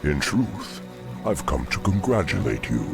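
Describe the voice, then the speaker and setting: Sinister demon lord, cavernously low voice, slow and formal, fantasy game, music behind. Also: male voice, big pitch swings, heavily acted. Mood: menacing, smug. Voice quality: very deep, gravelly. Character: sinister male demon dreadlord, commanding and cunning. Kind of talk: fantasy video game acting. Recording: music under the voice.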